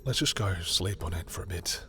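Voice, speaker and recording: A man playing a proud, guarded yet tender villain in love, in an intimate romantic roleplay with dramatic, halting, confessional delivery. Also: low-pitched, pushed and bright, faint music behind. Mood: soft, affectionate. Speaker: roleplay audio performer. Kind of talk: intimate second-person romantic roleplay acting.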